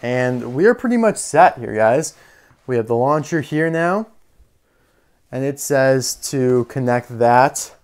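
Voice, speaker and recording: A man with a clear, smooth voice talks casually through a hands-on toy demonstration. His pitch sits in the middle range. He is chatty and unscripted, thinking aloud as he works. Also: lively intonation, slow, darkish tone. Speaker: toy reviewer. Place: indoor room full of toy displays.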